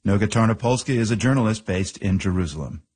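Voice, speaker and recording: A male radio host presenting news and interviewing in a calm, scripted way. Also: lowish voice, quick pace, slightly rough.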